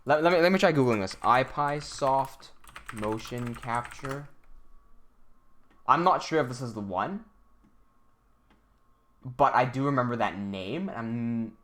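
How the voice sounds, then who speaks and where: A young man from Hong Kong talks through his ideas on a casual livestream at a middle pitch. He restarts his sentences often and thinks out loud as he reads from the chat. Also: lively intonation, a little slow, long pauses, slight background noise. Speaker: animator hosting a livestream.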